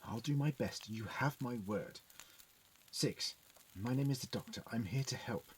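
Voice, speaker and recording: A man acting a calm, caring Time Lord doctor in an audio drama. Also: mid-range voice, measured pace, natural voice. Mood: gentle, concerned. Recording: noisy fan audio drama recording.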